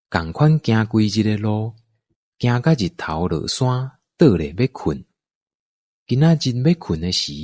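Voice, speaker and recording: A man's voice: lowish voice, darkish tone, breathy edge, dry recording. A man with a Taiwanese accent narrating a scripted radio drama as a storyteller.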